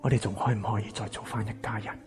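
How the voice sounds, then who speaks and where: An actor playing a wounded, embittered rich man in a Cantonese radio drama, with a Hong Kong accent and a breathy, husky voice. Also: fairly low pitch, level tone, quick pace, lightly acted. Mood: hurt, desperate. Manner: intense, dramatic. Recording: music behind the voice.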